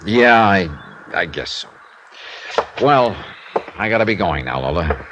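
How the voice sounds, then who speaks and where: An actor playing a tough but sympathetic insurance investigator in a 1950s radio detective drama, with an American accent and a clipped, wry delivery. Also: male voice, low voice, lively intonation, a little slow, muffled recording. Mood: weary, sympathetic. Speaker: lead actor and narrator. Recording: hissy vintage radio recording.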